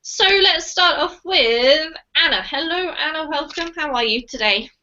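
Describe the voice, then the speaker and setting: High bright-voiced woman teaching online. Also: animated, a little slow, highs cut off, a little hiss or hum. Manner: friendly, chatty, encouraging. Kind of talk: live online group class. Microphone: computer mic on a video call.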